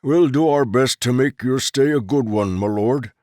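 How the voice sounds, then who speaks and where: A man's voice: medium pitch, normal speed, a little mellow, dry recording, clean studio sound, voice pushed up, lightly acted. A man doing a nervous, servile old foreman. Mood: placating. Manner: apologetic, placating. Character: elderly male camp foreman, nervous and servile.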